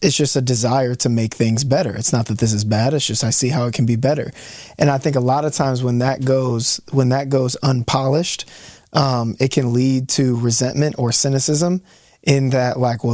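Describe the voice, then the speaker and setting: A man's voice: medium pitch, a little mellow, dry recording, clean studio sound. A man in his thirties co-hosting a podcast, reflecting carefully and searching for words as he talks. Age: 30s. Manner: thoughtful, searching for words, with restarts. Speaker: podcast co-host. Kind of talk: casual reflective podcast chat.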